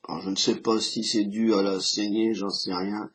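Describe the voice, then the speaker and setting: Hoarse man with a husky, low voice. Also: little pitch movement. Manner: halting, many restarts and fillers. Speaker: tarot reader. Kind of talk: casual tarot reading commentary. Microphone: camera he set up himself.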